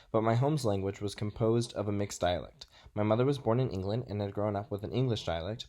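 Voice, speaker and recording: Low-voiced young Texan man. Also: warm tone. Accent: Texas American. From Texas, United States. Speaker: student presenter. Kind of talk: scripted presentation narration.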